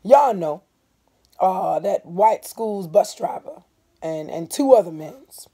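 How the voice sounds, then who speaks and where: A woman voicing a bitter, wary Southern man telling bad news in halting, folksy rural dialect, as in a storybook reading. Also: on the low side, expressive, mellow, breathy edge, exaggerated voice. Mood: halting, urgent.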